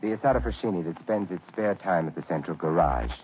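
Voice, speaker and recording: An actor playing a suave gentleman detective in an old radio drama, wry and unhurried. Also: low voice, expressive. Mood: amused, probing. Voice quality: smooth, dryly witty, unhurried. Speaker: lead detective. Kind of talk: 1940s radio acting. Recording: dull old radio recording, faint hiss.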